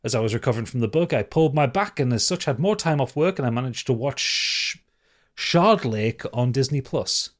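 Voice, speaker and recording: Male podcast co-host, mid-pitched voice, casual chat, reading aloud, dry humour, sweary. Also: expressive, a little fast.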